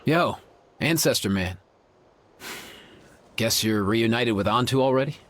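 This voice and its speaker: A man's voice: medium pitch, animated, a little slow, fairly bright tone. An actor playing a breezy, casual young animal healer, a kindly man, in a video game. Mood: casual, warm. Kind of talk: video game dialogue acting.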